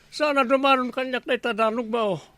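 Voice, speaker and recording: A man's voice: extremely high pitch, steady tone, quick pace, fairly bright tone. A man with an Ilocano accent acting as a teasing, exasperated village captain in a radio comedy drama. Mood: exasperated banter. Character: male village captain testing recruits for watchman, bantering, exasperated.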